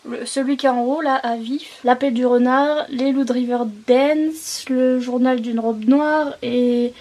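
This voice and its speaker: A woman's voice: high-pitched, fairly even intonation, a little slow, dark tone, no room sound. A woman chatting casually as a book vlogger, rambling off on tangents and poking fun at herself. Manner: self-mocking, jokey, digressive. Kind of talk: casual rambling vlog chat.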